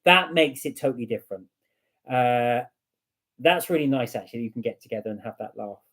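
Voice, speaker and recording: A man's voice: medium pitch, animated, a little fast, fairly bright tone. A man co-hosting a live stream from home, chatting casually and reading out viewer comments, rambling with fillers and restarts. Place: home room with a heater.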